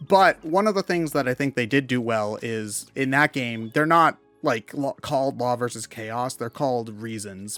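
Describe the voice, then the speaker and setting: A man chats as co-host of a gaming commentary. His delivery is casual and opinionated, building into animated rants with loose, casual swearing. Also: medium pitch, dry recording. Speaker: co-host of a video game commentary show.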